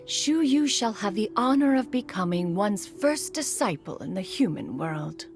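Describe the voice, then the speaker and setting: A woman's voice: medium pitch, big pitch swings, unhurried, soft background music. A woman playing a proud, caring immortal elder in a video game, speaking in a formal, haughty manner. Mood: haughty, indignant. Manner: formal, haughty, refers to herself as "one".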